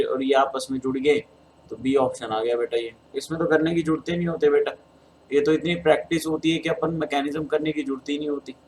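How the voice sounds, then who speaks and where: A man's voice: a little high, darkish tone, slight background noise, poor audio. A man with a Hindi accent and a sore throat, teaching chemistry as he works through an exam paper step by step and calling his students beta. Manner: addresses students as beta, talks through steps. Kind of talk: exam solution lecture.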